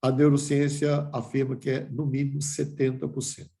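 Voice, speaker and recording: A man's voice: medium pitch, little pitch movement, normal speed, vocal fry, dark tone, echoey room. An older man giving a reflective lecture to a class, asking himself questions and restarting phrases.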